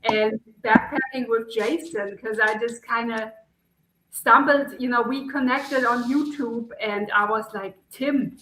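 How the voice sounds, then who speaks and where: Bright, lively German-accented woman. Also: on the high side, measured pace, echoey room, a little hiss or hum, poor audio. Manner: enthusiastic, rambling storyteller. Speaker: podcast guest. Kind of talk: casual podcast chat.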